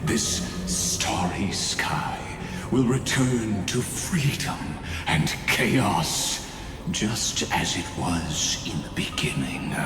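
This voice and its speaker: A man playing a menacing godlike mastermind villain in a video game, coldly courteous and mocking, with grandiose, deliberate delivery. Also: low-pitched, lively intonation, exaggerated voice. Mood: grandiose menace. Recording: long hall reverb over music.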